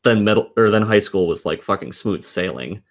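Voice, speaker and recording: A man's voice: fairly low pitch, expressive, fairly quick. A man in his thirties, a podcast co-host in casual conversation. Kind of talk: casual chat.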